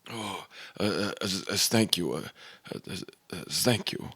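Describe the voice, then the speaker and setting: A man's voice: deep voice, lively intonation, takes it slowly, fairly bright tone, slight background noise, full character voice. A man playing a frail, injured and distraught professor who stammers and repeats words in a radio melodrama. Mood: weak, grieving. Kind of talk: old-time radio melodrama acting.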